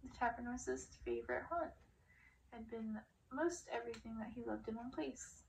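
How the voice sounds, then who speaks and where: Distant, higher-voiced woman reading a fairy tale. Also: normal speed, a little mellow. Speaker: reader of a story. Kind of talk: audiobook-style reading of a fairy-tale novel. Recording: distant mic with clear background noise.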